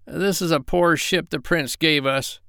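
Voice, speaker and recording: Man putting on a high-pitched grumbling pig. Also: unhurried, gravelly, bright, forceful tone, clear, resonant tone, dry recording, studio quality, full character voice. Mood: complaining, panicky. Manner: complaining. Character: grumbling, fretful male pig.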